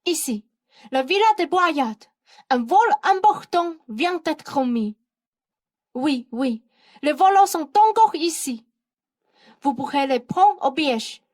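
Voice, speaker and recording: A young woman playing an excitable, scheming young man in a French radio play, melodramatic and emphatic. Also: high-pitched, slow, long pauses, dry recording, clean studio sound. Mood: urgent. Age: young adult. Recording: music behind the voice.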